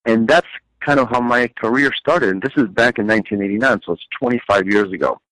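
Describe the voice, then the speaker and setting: A man's voice: medium pitch, fairly quick, fairly bright tone, no room sound, poor audio. A middle-aged man from Chile telling his career story in a casual way, with frequent fillers and repeated words. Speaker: guest, business owner. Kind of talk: conversational storytelling.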